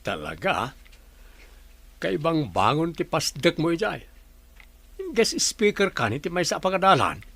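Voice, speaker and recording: Bright-voiced man, slightly high, inquisitive, teasing, audio drama acting. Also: sing-song, measured pace, creaky, pauses often, breathy edge, dry recording, exaggerated voice. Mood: curious. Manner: questioning, bantering.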